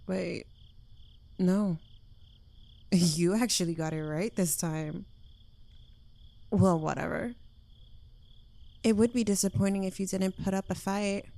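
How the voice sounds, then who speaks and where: Woman acting a slow, smug yandere witch girlfriend. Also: medium pitch, vocal fry, warm tone, no echo. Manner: teasing, smug, drawling, dropping into whispers. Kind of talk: intimate ASMR roleplay addressed to the listener.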